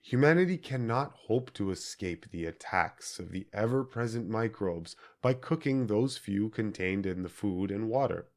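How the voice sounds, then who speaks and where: A man reading scripted audiobook narration in deliberate, measured phrases with emphatic stresses. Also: lowish voice, animated. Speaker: audiobook reader.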